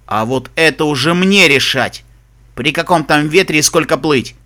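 A man putting on a gruff, hoarse zebra sea captain. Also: fairly high pitch, moderate pace, sharp tone. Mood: gruff, suspicious. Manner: grumpy, barking sailor slang. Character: gruff, salty old zebra smuggler captain, foul-mouthed and greedy. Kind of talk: audiobook character voice.

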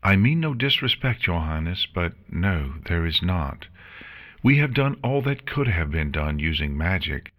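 A man's voice: low voice, big pitch swings, fairly bright tone, heavily acted. A man voicing a nervous, formal female pony nurse who keeps apologizing. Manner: formal, anxious, quick to apologize.

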